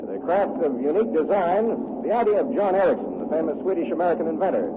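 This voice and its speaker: A confident young navy commander speaks formally and resolutely in a slightly high voice. It is old radio drama, muffled, with clear background noise. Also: male voice, very animated, fast. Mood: proud, confident. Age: young adult. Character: proud, confident young male naval lieutenant commanding an ironclad. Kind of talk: 1940s radio drama acting. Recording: noisy, muffled vintage radio recording.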